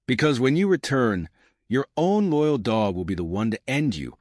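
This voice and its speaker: A man's voice: medium pitch, very animated, normal speed, a little mellow, no room sound, studio quality. A man voicing a cruel, obsessive villain who gloats with menace in an audiobook. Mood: gloating. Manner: menacing, triumphant. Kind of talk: audiobook character acting.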